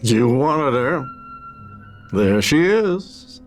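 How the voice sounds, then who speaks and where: Man as slow, taunting, menacing vampire. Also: mid-range voice, very animated, soft background music. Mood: cold taunting. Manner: slow, deliberate. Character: cold, taunting male vampire, smugly menacing. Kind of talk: dramatic horror script reading.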